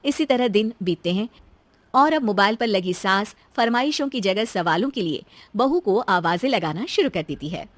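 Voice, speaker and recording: A woman's voice: medium pitch, lively intonation, moderate pace, no room sound. A woman reading a scripted moral tale as the narrator of a story.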